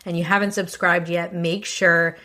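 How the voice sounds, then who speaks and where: A woman's voice: fairly low pitch, little pitch movement, normal speed, pushed and bright. Chatty woman host who has a cold. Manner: chatty, encouraging, speaks straight to the listener. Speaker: podcast host. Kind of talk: casual solo podcast talk.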